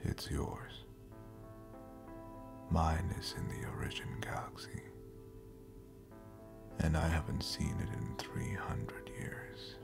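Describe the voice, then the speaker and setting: A very deep, gravelly, ancient space captain. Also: male voice, level tone, music in the background, exaggerated voice. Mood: cold resolve. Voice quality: gravelly, breathy near-whisper. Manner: slow, solemn, deliberate storytelling. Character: ancient, brooding, homesick spacefaring captain with powers, coldly resolute. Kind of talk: scripted audio roleplay drama.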